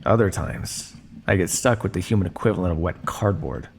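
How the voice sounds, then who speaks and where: A man playing a condescending, coolly menacing vampire scientist in an ASMR roleplay, speaking to his captive in a measured, teasing way. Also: low-pitched, darkish tone, slight background noise. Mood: dismissive, smug. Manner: smug, measured, teasing, theatrical. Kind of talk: ASMR roleplay monologue addressed to listener.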